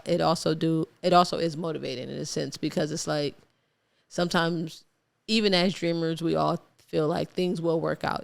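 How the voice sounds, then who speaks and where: A young woman from Ohio, a guest artist talking through her journey in a relaxed, reflective interview, laughing easily. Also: deep voice, gravelly, clear, resonant tone. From Ohio, USA. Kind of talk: casual interview chat.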